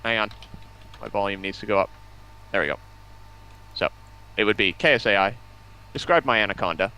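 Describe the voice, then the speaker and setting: A man's voice: on the low side, a little fast, bright, forceful tone, low-quality recording. A man appearing as a guest on a livestream, talking in casual banter. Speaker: stream guest.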